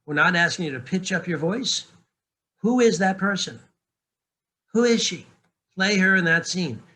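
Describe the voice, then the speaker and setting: A man's voice: high voice, expressive, normal speed, sharp tone. A middle-aged man, a narration coach, talking candidly in casual panel chat, joking and often restarting his sentences.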